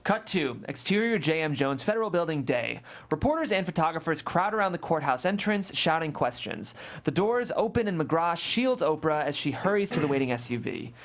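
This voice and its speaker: A man reads scripted stage directions from a screenplay. His voice sits a little high for a man, and he delivers the action as narration for a table read.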